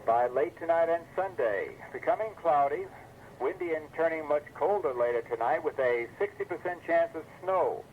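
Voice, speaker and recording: A man's voice: a little high, lively intonation, normal speed. A man reading a scripted weather forecast as an announcer on an old weather radio broadcast. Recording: muffled, noisy 1980s weather radio broadcast.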